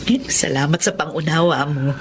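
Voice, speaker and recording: A woman's voice: low-pitched, normal speed, noisy background, natural voice. An actress with a Filipino accent playing a kind, motherly older woman in a radio drama, speaking tenderly and earnestly. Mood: concerned. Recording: music behind the dialogue.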